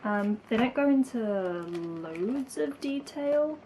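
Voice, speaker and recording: A woman's voice: a little high, lively intonation, takes it slowly, mellow, a little hiss or hum. A young woman from England, chatting casually to camera as a language-learning vlogger, full of likes and ums. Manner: chatty, lots of likes and ums, light laughs.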